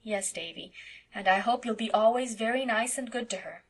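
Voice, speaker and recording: A woman reading a gentle, patient young woman. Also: on the high side, moderate pace, breathy edge. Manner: soft and patient, speaking kindly to a child.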